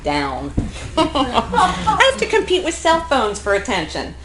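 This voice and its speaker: Actress as sarcastic wife, animated, echoey stage. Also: female voice, sits high, slow, full character voice. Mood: sarcastic griping. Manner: wry, exasperated, theatrical. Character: sarcastic, salty middle-aged wife, a gym teacher. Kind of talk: live stage comedy acting. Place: theatre stage, live performance. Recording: echoey theatre recording, faint noise.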